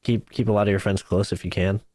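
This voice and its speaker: A man's voice: on the low side, steady tone, fast, darkish tone, no echo. A man in his twenties, a YouTuber chatting casually on a podcast, laid-back and saying "like", "dude" and "bro" often. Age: 20s.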